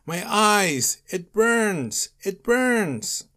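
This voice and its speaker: A man's voice: medium pitch, moderate pace, close to an everyday voice. A man voicing a frustrated vampire monster in a story, complaining in an exasperated way. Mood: frantic yelling. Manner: exasperated, shouting his complaints.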